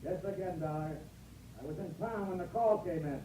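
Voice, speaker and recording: A man's voice: sits high, normal speed, warm tone, natural voice. An American man playing a hot-headed, threatening rancher in a 1950s radio drama, belligerent and emphatic. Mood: defiant. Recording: echoey, faintly noisy old radio recording.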